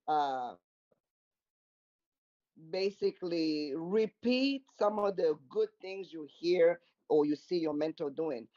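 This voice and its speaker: A man's voice: high-pitched, warm tone. A man speaking earnestly in a panel discussion, repeating his points for emphasis over an online call. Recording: muffled online call audio.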